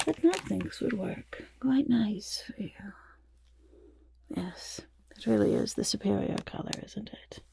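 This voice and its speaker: A woman playing a vain, fussy goblin portrait artist in an ASMR roleplay, with a raspy half-whisper and pompous, flattering, hesitant talk. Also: mid-range voice, noisy background. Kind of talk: ASMR roleplay, soft personal attention.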